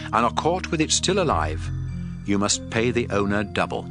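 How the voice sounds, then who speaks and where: A man playing a stern, authoritative God in a dramatic scripture reading, speaking in solemn, deliberate declarations. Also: medium pitch. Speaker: audio Bible narrator. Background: background music.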